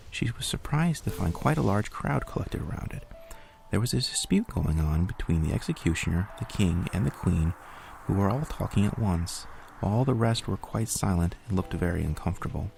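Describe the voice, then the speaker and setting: A man reading a bedtime story in a steady, unhurried way. His voice is very deep and heavily gravelly. Soft background music plays throughout.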